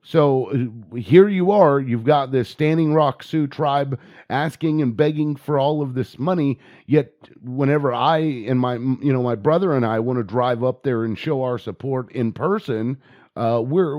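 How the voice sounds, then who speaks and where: An American man talking informally and giving his opinions in a halting way, repeating words as he goes. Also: medium pitch, dry recording, muffled recording. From United States. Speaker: video blogger and activist. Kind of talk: informal opinion monologue and phone inquiry.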